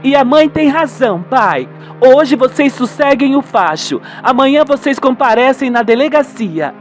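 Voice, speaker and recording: An earnest, worried grown daughter, played by a man in a radio soap. The voice sits very high and sounds insistent and sensible, over faint music. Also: animated, a little slow.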